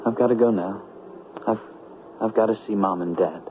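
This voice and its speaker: An actor playing a tormented, terminally ill young man in love, halting and pleading, in an old-time radio drama. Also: on the low side, lightly acted. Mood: tender, wistful. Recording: muffled, noisy vintage radio broadcast.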